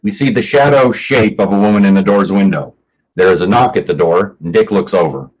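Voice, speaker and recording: Low-voiced man presenting a staged reading. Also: a little fast, a little mellow, rough recording. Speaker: stage directions reader and event announcer. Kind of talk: scripted narration of stage directions.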